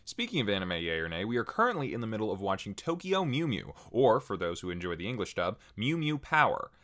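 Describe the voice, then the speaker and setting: A man's voice: on the low side, sing-song, fast, a bit bright. A man hosting a casual scripted channel update, talking informally to viewers with wry asides. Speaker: channel host and video creator.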